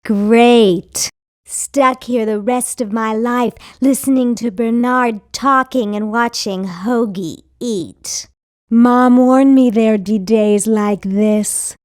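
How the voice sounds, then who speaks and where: Cartoon game sidekick: sardonic young American woman, highish voice, unhurried deadpan, gloomy oddball, close dry sound. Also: dry recording. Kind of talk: cartoon adventure game voice acting.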